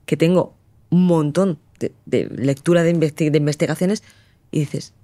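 A woman from a close, dry-sounding recording speaks with an accent from Spain in a fairly low voice. She explains things conversationally and with conviction, pressing points through rhetorical questions. Her tone is on the mellow side. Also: fairly even intonation, moderate pace, no echo. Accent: Spanish from Spain. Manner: assertive, uses rhetorical questions.

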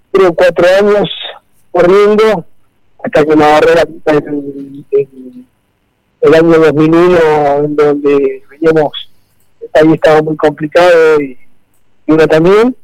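A middle-aged Argentine man from Santa Fe, speaking in a casual radio interview, halting with many fillers and repeated words. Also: a little high, lively intonation, darkish tone, no room sound, rough recording. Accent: Argentine Spanish. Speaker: rally co-driver guest.

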